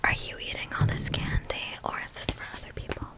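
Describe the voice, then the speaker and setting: A woman in a gentle, breathy whisper, unhurriedly playing an attentive candy shop keeper in an ASMR roleplay. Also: brisk.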